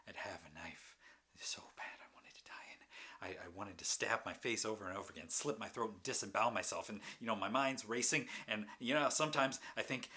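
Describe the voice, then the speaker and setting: Guy acting a tormented bitter man doing a rambling stage monologue, deep voice, really dynamic, halting and sighing. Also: bright, forceful tone. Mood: manic, desperate. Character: anguished, mentally ill man confessing and defending his drinking. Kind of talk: dramatic stage monologue, confessional.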